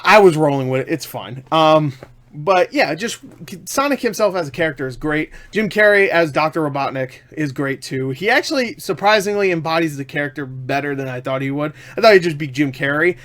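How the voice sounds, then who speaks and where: A man with a fairly high-pitched voice chats through an unscripted review. He is enthusiastic and emphatic, circling back over his points in a loose, rambling flow. The delivery is casual throughout, like an informal vlog. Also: fairly bright tone. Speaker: film reviewer.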